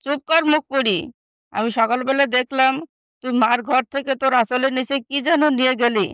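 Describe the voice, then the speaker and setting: A woman voicing a bossy, scheming young sister in an animated moral story, with a scornful, scolding manner. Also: high voice, a little fast, clean tone, dry recording. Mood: accusing.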